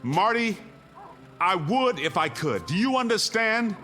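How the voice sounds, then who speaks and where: An anguished, unbending family man in a radio play. His fairly high voice is very animated and declamatory, with music behind it. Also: unhurried, full character voice. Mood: anguished refusal. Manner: emphatic, declamatory. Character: besieged doctor and father, stubborn, anguished, resolute. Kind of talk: radio drama acting.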